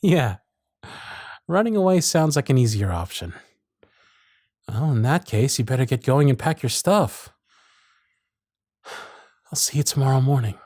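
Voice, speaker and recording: A man acts out a lovestruck circus performer in an intimate audio roleplay. The voice is husky and breathy, recorded close and dry. The delivery is hesitant and earnest, nervously feeling its way through each thought. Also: medium pitch, very animated, measured pace, pauses often, darkish tone, dry recording. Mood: happy, tender. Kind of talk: intimate ASMR roleplay acting.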